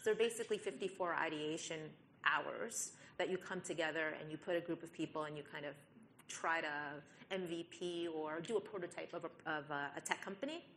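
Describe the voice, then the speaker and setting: A woman speaks on a panel in a steady, explanatory way, with frequent fillers. Her voice is very low for a woman, and a faint low rumble sits under the recording. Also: a little fast. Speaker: venture capital investor, panelist. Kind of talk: live panel discussion.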